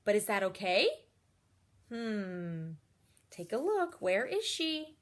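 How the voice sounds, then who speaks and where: Animated woman reading a picture book aloud. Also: medium pitch, slow pace. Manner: expressive, encouraging, asks listeners questions. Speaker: read-aloud storyteller and teacher. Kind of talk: children's picture book read-aloud with asides.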